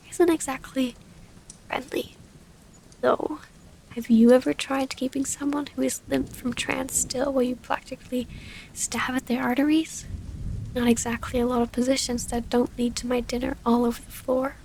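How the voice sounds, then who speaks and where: A woman playing a loving, formal, wistful female vampire in an intimate ASMR roleplay, often breathy and close to a whisper. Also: sits high, rough recording. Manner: formal, old-fashioned, intimate phrasing. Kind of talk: ASMR romantic audio roleplay. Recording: steady rain ambience, faint noise.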